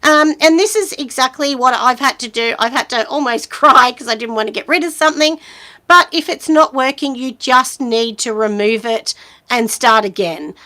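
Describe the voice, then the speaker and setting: A woman in her forties with a husky voice, hosting a casual live chat and giving friendly business advice, with lots of ums and you-knows. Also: high voice, normal speed, sharp tone. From Australia. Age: 40s. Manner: chatty and encouraging, many ums and you-knows.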